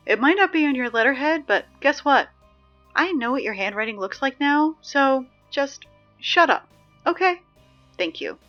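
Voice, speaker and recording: A woman's voice: high voice, a bit bright, muffled recording. A woman playing a sarcastic, exasperated teenage girl who reads school announcements in a casual, conversational audio drama style. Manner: snarky, conversational, dry.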